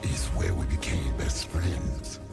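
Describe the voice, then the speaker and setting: A menacing, deep-voiced alien symbiote from a video game, growling and vengeful. The words come slowly and ominously, with heavy gravel, under loud music. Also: male voice, full character voice. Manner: slow, ominous, speaks as "we". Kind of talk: dramatic video game acting. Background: loud cinematic music.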